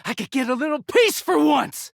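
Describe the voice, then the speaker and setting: A man's voice: very high voice, sing-song, moderate pace, vocal fry, dry recording, studio quality, exaggerated voice. A man voicing a bitter, exasperated, sardonic, foul-mouthed young male living-laundry character in a video game. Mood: exasperated, angry. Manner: sardonic, foul-mouthed, swinging from muttering to shouting.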